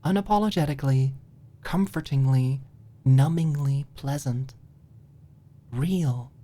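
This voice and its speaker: A woman narrating a story as a scripted audiobook, in a soft, expressive storytelling manner. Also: very deep voice, takes it slowly.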